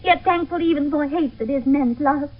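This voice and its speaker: A tinny old radio broadcast of classical verse drama. An actress plays a young noblewoman with a high voice, grieving and in love. Her delivery is declamatory and impassioned. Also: normal speed, natural voice. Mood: defiant, pleading.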